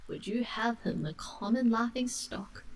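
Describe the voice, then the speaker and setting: A woman's voice: fairly high pitch, measured pace, a little hiss or hum, close to an everyday voice, rough recording. A woman voicing a gloomy, blunt male badger with common manners in a storybook.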